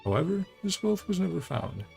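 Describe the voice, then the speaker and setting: A man voicing a grandiose, eager treasure-hunting adventurer from a video game, with florid, courtly flattery and theatrical delivery. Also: on the high side, a little fast, gravelly, soft background music, pitched above the performer's own voice, full character voice. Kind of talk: game dialogue read aloud in character.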